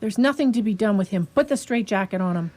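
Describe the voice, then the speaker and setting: A woman's voice: medium pitch, animated, quick pace, darkish tone, natural voice. A woman playing a troubled, skeptical young male asylum doctor in a radio play, formal and earnest. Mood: authoritative. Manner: formal, earnest dramatic delivery.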